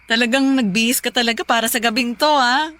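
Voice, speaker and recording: A woman playing a sharp-tongued, teasing young girlfriend in a radio drama, with a wry, pointed delivery. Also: fairly high pitch, dry recording, close to an everyday voice. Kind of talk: Tagalog radio drama acting.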